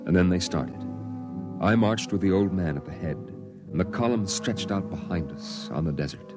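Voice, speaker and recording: A man narrating in a measured, somber way as the grown boy recalls the story, in 1950s radio drama style, from an old broadcast with music beneath. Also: low-pitched, animated.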